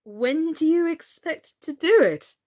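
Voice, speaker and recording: A woman's voice: high-pitched, animated, slow pace, darkish tone, voice pushed up. A woman voicing an earnest, curious seven-year-old girl in a storybook, with a polite, questioning manner. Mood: concerned.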